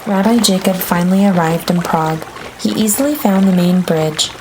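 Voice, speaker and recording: A woman narrates a children's story in a slightly low voice. Her storytelling is expressive, with some drawn-out phrases. There is faint noise in the recording.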